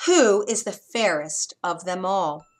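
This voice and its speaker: A woman's voice: on the low side, slow pace. A woman voicing a wicked, commanding, hot-tempered queen in a children's fairytale. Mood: imperious. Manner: imperious, loud.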